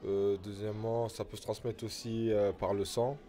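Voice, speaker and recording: Young man, casual vox pop answer, low mellow voice, handheld mic in a hallway. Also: flat delivery, normal speed, soft background music. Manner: casual, plainspoken. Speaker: passer-by interviewed. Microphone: handheld mic held by interviewer.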